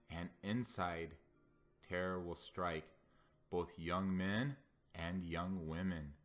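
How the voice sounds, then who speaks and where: A man's voice: low voice, fairly bright tone. A man gives a dramatic, solemn and declamatory reading of scripture. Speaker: scripture reader.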